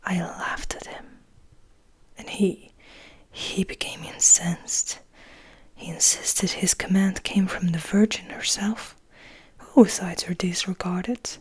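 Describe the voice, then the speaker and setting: A woman's voice: on the low side, steady tone, heavily acted. A woman whispering as a serene, bitter male vampire. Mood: reflective, somber. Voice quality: breathy whisper. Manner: measured, reflective, formal. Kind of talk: whispered ASMR storybook reading.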